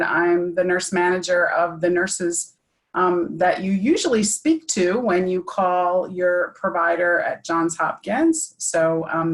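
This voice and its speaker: A woman nurse manager, measured and plain-spoken, introducing herself. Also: lowish voice, poor audio. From Baltimore. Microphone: video call.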